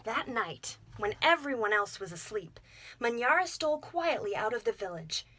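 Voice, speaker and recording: A woman reads a children's storybook aloud, her voice somewhat high and clearly expressive. She tells the tale at an even, warm storyteller's pace, giving each line careful shape. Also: moderate pace, slight background noise.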